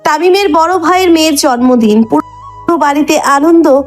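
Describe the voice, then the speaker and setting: A woman narrates a dramatic scripted story in a high voice. Background music plays underneath her telling. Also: normal speed.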